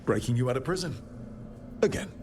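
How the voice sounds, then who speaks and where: An actor playing a steadfast, reassuring heroic companion and leader in a video game, earnest and persuasive. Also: male voice, mid-range voice, lively intonation, brisk, a little mellow, a little hiss or hum, close to an everyday voice. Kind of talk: dramatic video game acting. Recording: music behind the voice.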